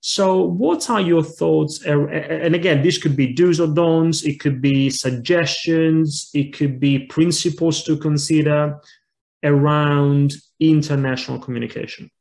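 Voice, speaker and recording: A man's voice: a little high, fairly even intonation, a little slow, vocal fry, darkish tone. A man in his thirties with an Italian accent hosts a podcast over a video call. His questions wander and he often restarts words before finding his point. He talks from a room at home into an earphone mic. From Italy. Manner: rambling, repeats words when starting. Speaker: podcast host. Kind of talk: interview questions. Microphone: wired earphone mic on video call.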